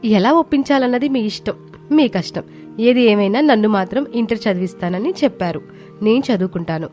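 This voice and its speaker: A woman's voice: medium pitch, animated, fairly quick, a little mellow, faint music behind, lightly acted. A woman voicing a young, dutiful daughter-in-law, polite and earnest, in a cartoon moral story. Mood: insistent, hopeful.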